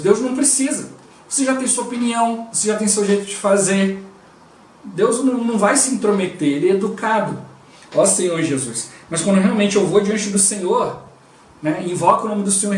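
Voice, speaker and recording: A Brazilian man preaches in a relaxed, conversational way, sprinkling his talk with quick prayers. His voice sits high for a man. He sounds set back from the mic in a reverberant room. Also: a little hiss or hum. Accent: Brazilian Portuguese. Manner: conversational, constantly interjects "O Lord Jesus" and "né". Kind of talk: devotional preaching. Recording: roomy and distant from the mic.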